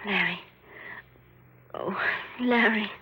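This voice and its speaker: A woman playing a mysterious, seductive and menacing femme fatale in 1940s radio thriller style, with a cool, deliberate, cryptic delivery. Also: mid-range voice, bright, forceful tone, slightly rough, full character voice. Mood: tender, yearning. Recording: dull old radio recording.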